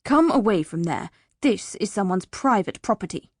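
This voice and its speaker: A woman voicing a stern but kindly female teacher in a storybook, with a brisk, bossy, scolding manner. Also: medium pitch, lively intonation, husky, no echo, studio quality.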